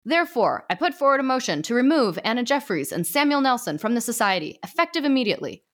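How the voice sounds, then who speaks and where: A woman's voice: fairly high pitch, sing-song, quick pace, a bit bright, pitched above the performer's own voice. A woman voicing a pompous, stern male ghost knight who presides over a meeting like a judge, speaking formally. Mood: stern officious.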